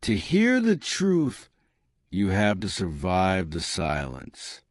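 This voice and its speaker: A man narrating a scripted dramatic story in a solemn, deliberate manner. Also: sits low, wildly swooping pitch, dry recording, studio quality.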